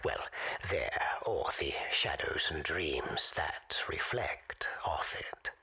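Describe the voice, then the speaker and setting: An actor playing a mysterious forgotten man calling a radio show in an audio drama, courteous and ominous, speaking in a measured, cryptic, whispery rasp. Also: a bass voice, lively intonation, bright, forceful tone, full character voice. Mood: wary, measured.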